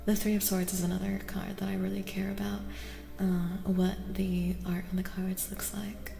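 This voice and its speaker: A woman hosting an ASMR tarot livestream, speaking softly and gently, often dropping into a breathy whisper and repeating phrases soothingly. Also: medium pitch, little pitch movement, moderate pace. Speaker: livestream host, tarot reader. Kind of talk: soft-spoken ASMR livestream chat with tarot reading. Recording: reverberant echo with music underneath. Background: music.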